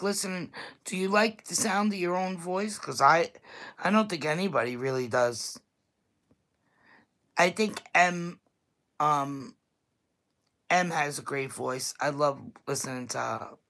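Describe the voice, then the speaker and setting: A woman speaking in a very low, deep voice with a thick Staten Island New York accent. She chats bluntly and loosely to her viewers as if on a livestream, laughing now and then. The sound is a plain home computer mic. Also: measured pace, long pauses, fairly bright tone. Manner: chatty and blunt, laughs often. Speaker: YouTube vlogger. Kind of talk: casual livestream chat to viewers.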